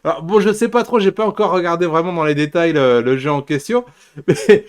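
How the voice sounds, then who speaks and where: Male podcast host, fairly high voice, informal and jokey, chatting casually. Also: brisk, clean tone. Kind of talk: casual chat about anime and geek news.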